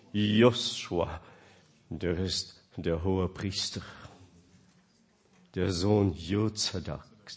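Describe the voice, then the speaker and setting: Husky non-native man preaching slowly. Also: low voice. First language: not German. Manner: emphatic, swings between loud and quiet, asks the audience questions. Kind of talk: sermon.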